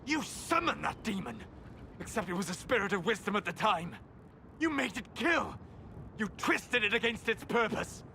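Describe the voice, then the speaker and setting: An actor playing a grave, scholarly elf mage with a measured, formal manner. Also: male voice, high-pitched, very animated, creaky, bright, forceful tone, long reverb tail, a little hiss or hum, exaggerated voice. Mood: furious. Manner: measured, formal, bursts into anger.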